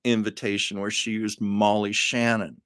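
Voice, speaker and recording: A man's voice: on the low side, little pitch movement, normal speed, a bit bright, smooth, no echo, clean studio sound. A man hosting his own livestream from home, chatting casually with viewers and laughing often. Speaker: livestream host. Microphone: camera on a livestream setup.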